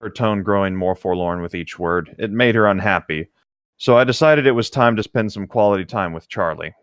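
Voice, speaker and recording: A man's voice: on the low side, fairly quick, clear, resonant tone, no room sound. A man reading a novel aloud as co-host, mixing the text with casual, wry, laid-back asides.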